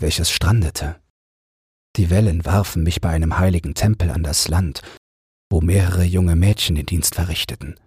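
A man voicing an affectionate young prince in a fairy tale audiobook, speaking in an earnest, tender, unhurried way. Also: low voice, darkish tone, breathy edge, no room sound, clean studio sound, full character voice.

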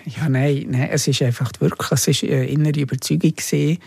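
Male interview guest with Swiss German accent, dark husky tone, creaky, halting, reminiscing delivery. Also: a little high, steady tone, dry recording. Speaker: interview guest, former radio team member. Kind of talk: casual reminiscing.

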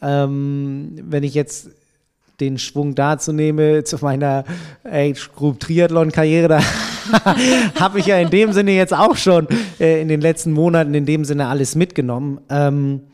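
A young man in a casual podcast conversation, talking in a relaxed way and thinking aloud with many fillers. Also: fairly high pitch, measured pace, clean tone. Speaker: podcast guest, triathlon editor.

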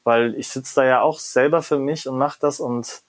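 A middle-aged German man, a professor, answering interview questions in a reflective, animated way and often ending phrases with "ja?" or "ne?". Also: mid-range voice, moderate pace. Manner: reflective, animated, frequent "ja?" and "ne?" tags. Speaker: guest, professor of creativity and design.

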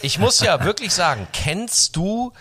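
A young man hosts a casual podcast chat and jokes around in slangy speech. His pitch swings widely and his tone is bright, though he has a cold. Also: unhurried, slightly rough. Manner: animated, jokey, slangy. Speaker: podcast host.

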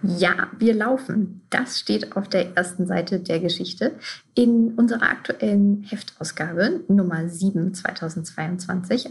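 Female podcast host, lowish voice, measured scripted intro read.

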